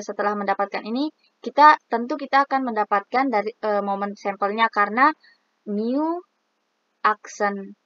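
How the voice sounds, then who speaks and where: A woman's voice: mid-range voice, a bit bright, no echo, dull audio. A woman explaining a statistics exercise, reading formulas aloud step by step with many hesitant fillers. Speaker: student presenting a statistics exercise. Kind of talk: explaining math on screen.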